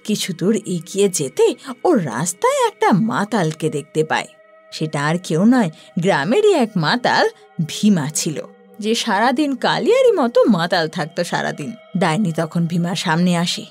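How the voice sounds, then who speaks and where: A woman narrating a scripted horror story in a dramatic, expressive way. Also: fairly low pitch, measured pace, breathy edge, faint music behind.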